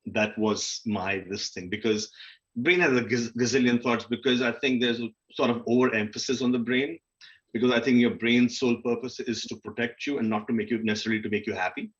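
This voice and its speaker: A man talks in an informal, candid way, as a guest on a panel would. His voice sits at a middling pitch and he slips into self-questioning dialogue as he explains himself. The audio is dull and lo-fi. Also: steady tone, fairly quick. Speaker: guest speaker on a literary festival panel. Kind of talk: candid conversational panel talk about personal experience.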